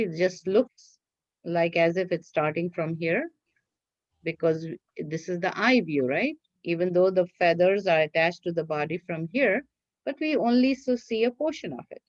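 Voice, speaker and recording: A woman's voice: fairly low pitch, moderate pace, no room sound, muffled recording. A woman teacher giving step-by-step craft instructions to children in a patient, repetitive way, often checking that they follow.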